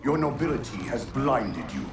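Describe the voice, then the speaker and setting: An actor playing an ancient, bitter, imperious alien warlord in a video game, delivering grandiose, menacing proclamations in a gravelly voice. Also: male voice, medium pitch, very animated, moderate pace, lightly acted. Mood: bitter scorn. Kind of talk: dramatic video game villain monologue. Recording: cavernous echo, distant, music underneath.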